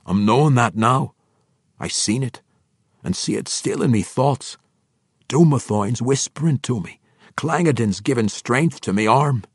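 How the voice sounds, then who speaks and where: A man's voice: mid-range voice, expressive, unhurried, husky, no room sound, clean studio sound, pitched above the performer's own voice. A man voicing a hearty old male dwarf king with a thick brogue, blunt and boisterous, dropping words as he talks. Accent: dwarvish brogue.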